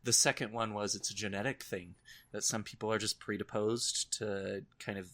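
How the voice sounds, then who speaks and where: A man's voice: lowish voice, little pitch movement, normal speed, pushed and bright. A man co-hosting a podcast in casual conversation, with an informal, wry, self-deprecating way of talking. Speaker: podcast co-host. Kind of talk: casual chat about nightmares and horror films.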